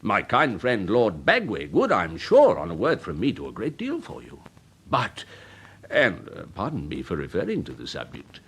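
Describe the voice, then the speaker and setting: An actor playing a worldly older English gentleman, a pompous and smoothly persuasive uncle in a period radio drama. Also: on the low side, wildly swooping pitch, moderate pace, exaggerated voice. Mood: exasperated, scornful. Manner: pompous, urbane, rhetorical, insistent.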